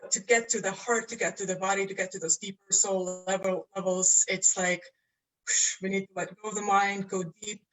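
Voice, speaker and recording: A woman from Estonia speaks as an interview guest, earnest and unhurried, often pausing to find her words. She talks over a video call in a relaxed, conversational way. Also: mid-range voice, poor audio. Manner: earnest, searching for words, laughs easily. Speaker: astrologer and coach guest. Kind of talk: casual interview.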